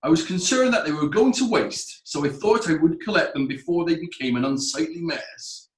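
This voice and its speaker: An actor playing a nervous defendant who answers the court politely and hesitantly. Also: male voice, a little high, moderate pace, lightly acted. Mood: deferential. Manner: hesitant, deferential. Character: nervous evasive male defendant, cider maker. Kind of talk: amateur radio drama. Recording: echoey room.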